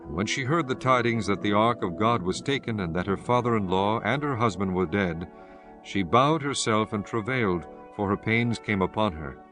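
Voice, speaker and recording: A man reading scripted scripture narration in a solemn, measured manner. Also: lowish voice, lively intonation, over music.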